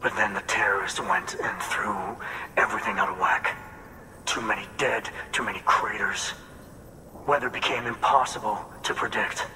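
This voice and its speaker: An actor playing an earnest, hopeful farmer in a video game, speaking in a confiding way. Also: male voice, on the low side, fairly even intonation, normal speed, natural voice. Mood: troubled. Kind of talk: video game cutscene acting. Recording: reverberant space, faint background noise.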